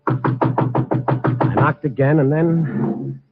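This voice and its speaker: An actor playing a wry, world-weary Chicago newspaper reporter who also narrates, in 1940s radio drama style with a dry, sardonic manner. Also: male voice, fairly high pitch, extremely slow. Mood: hard-boiled narration. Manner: dry, sardonic, hard-boiled. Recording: muffled vintage radio recording with music.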